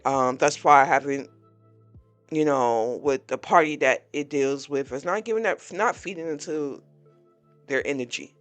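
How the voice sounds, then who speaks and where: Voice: medium pitch, normal speed, a bit bright, soft background music. A podcast host in their early forties giving a candid, blunt personal reflection, talking openly about frustration. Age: 40s.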